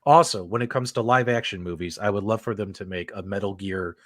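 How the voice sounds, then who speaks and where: A man's voice: fairly low pitch, fairly quick, a bit bright, no room sound. An enthusiastic man hosts a casual livestream chat. He repeats phrases for emphasis, and his voice sounds dry and close, with very little room around it. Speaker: host of a video game talk show.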